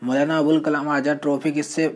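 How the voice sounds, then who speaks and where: Quiz voice-over: a man, somewhat high voice, flat delivery, steady question-and-answer reading. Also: brisk. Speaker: quiz presenter.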